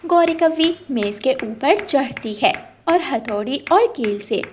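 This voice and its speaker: A woman's voice: sits high, expressive, a little slow, slight background noise. A woman reading a scripted children's story as narrator.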